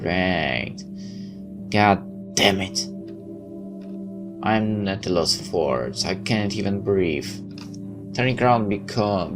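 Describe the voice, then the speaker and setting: A very low-pitched male gamer reads story text aloud in a dramatic let's play style, tripping over the odd word. He drops in wry, joking comments of his own. Clear music plays behind him. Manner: wry, dramatic reading with stumbles. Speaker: gamer reading a visual novel aloud. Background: game music with guitar.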